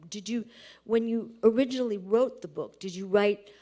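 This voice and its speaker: A woman's voice: medium pitch, moderate pace, warm tone. A woman interviewing on stage at a literary event, searching hesitantly for her words. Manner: hesitant, searching, repeats word starts. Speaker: interviewer, author and professor. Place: live stage event before an audience.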